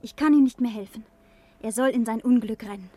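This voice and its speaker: A woman's voice: a little high, fairly quick, dark tone, close to an everyday voice. An actress playing an elegant, anxious but resolute married lady in a German television drama. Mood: despairing.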